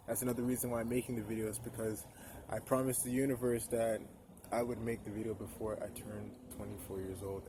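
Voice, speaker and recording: A man in his twenties talks to camera, stopping and restarting often as he searches for words. His voice sits low and barely rises or falls. Traffic and outdoor noise run clearly under the whole recording. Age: 20s. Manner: halting, full of pauses and restarts. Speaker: vlogger. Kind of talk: personal spiritual monologue to camera.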